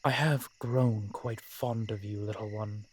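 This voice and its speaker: A man playing a brooding, protective vampire in an audio roleplay, speaking in formal, measured phrases with dramatic pauses. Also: fairly low pitch, fairly even intonation, husky. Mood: tender, sorrowful. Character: brooding, protective male vampire, old-fashioned and frustrated. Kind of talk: scripted audio roleplay acting addressed to the listener.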